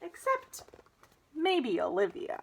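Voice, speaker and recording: A young woman reads a picture book to children with chatty asides. Her voice is fairly high and very expressive, and she takes an unhurried pace. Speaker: storybook reader.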